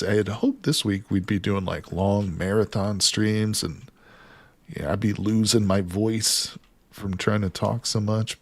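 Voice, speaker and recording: A man's voice: sits low, vocal fry, husky. A man live streaming a survival game, chatting casually and joking with his viewers as he plays. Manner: chatty, jokes with chat, greets viewers by name.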